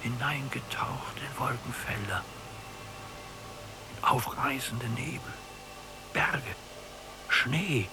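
An actor playing an old, nearly blind prisoner in a radio drama, musing in a halting, poetic way. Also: male voice, medium pitch, over music, close to an everyday voice. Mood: brooding, dreamy. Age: older.

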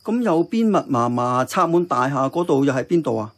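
A man playing an earnest, thoughtful uncle who runs a diner in a radio drama, with a Hong Kong accent and a measured, reflective manner. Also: mid-range voice, animated, lightly acted. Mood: curious. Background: music.

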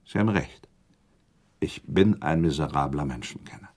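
An actor playing a thoughtful, self-doubting prince in a radio drama, speaking in courteous, elaborate formal phrases. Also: male voice, extremely low pitch, moderate pace, darkish tone. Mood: conceding, questioning. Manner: formal, elaborate, with inserted asides. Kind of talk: German radio drama acting.

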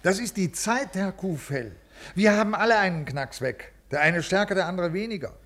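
An ailing, rule-bound male official in a 1950s radio drama. His voice sits a little high, and he speaks in formal, brusque, officious phrases, every bit the dutiful bureaucrat. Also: lively intonation, normal speed, fairly bright tone. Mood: lecturing, dismissive. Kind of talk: 1950s German radio play acting.

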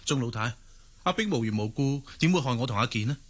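An actor playing a loyal, concerned young man in a radio drama, earnest and excitable, with a Hong Kong Cantonese accent. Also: medium pitch, fast talker.

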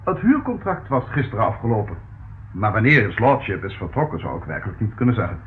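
A man's voice: lowish voice, big pitch swings, fast. An actor playing a fussy, easily scandalised male estate agent in a Dutch radio play, with formal, pompous patter. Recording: muffled old radio recording, faint noise.

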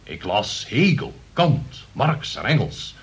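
An actor in a Dutch radio play playing a pompous, long-winded middle-aged uncle who moralizes about politics. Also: male voice, medium pitch, sing-song, slow pace, slightly rough, heavily acted. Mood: self-important.

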